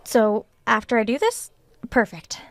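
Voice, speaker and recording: A woman's voice: fairly high pitch, big pitch swings, unhurried, gravelly, dry recording, exaggerated voice. A woman acting in an audio drama as an earnest, stubborn author who hesitates and then insists. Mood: defensive, eager. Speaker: actor.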